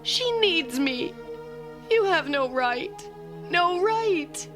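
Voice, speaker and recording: A woman's voice: extremely high pitch, expressive, extremely slow, heavily acted. An actress playing a sheriff's wife, a fiercely protective foster mother, in a radio drama. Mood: desperate, protective. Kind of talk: vintage-style radio drama acting. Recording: music under the dialogue.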